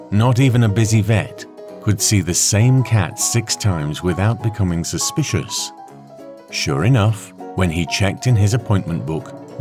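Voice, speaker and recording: A man reading a scripted children's story in a measured, expressive way, with deliberate pauses. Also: sits low. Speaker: storybook narrator.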